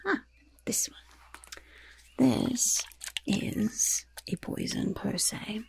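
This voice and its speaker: Woman playing a whispering, croaky goblin shopkeeper. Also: medium pitch, wildly swooping pitch, darkish tone, no room sound, exaggerated voice. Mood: sly, welcoming. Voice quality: breathy whisper. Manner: unhurried, coy, chatty sales patter with chuckles. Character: mischievous female goblin shopkeeper selling poisons and curses. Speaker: ASMR roleplay performer. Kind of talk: ASMR roleplay, whispered.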